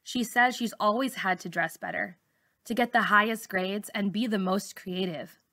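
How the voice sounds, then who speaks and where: Woman, teacher reading aloud, bright tone, mid pitch, first-person children's story narration. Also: normal speed.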